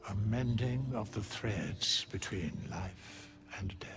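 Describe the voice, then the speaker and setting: A man playing an ancient undead keeper of souls in a fantasy game, solemn and cryptic, with grave archaic speech and a gravelly voice. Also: fairly low pitch, takes it slowly, music in the background. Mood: solemn, detached. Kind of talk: fantasy video game acting.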